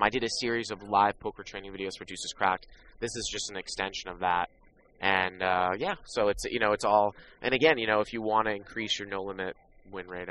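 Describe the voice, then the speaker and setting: A man's voice: on the low side, sharp tone, a little hiss or hum, rough recording. A man who coaches poker and hosts a podcast, casually promoting his training products. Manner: plugging his products, confident.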